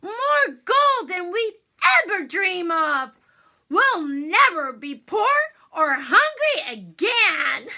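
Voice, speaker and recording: Woman as an excited, high-pitched storybook boy. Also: slow, full character voice. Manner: exclaiming, wide-eyed. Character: excited young boy from a fairy tale. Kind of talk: children's storybook character voice.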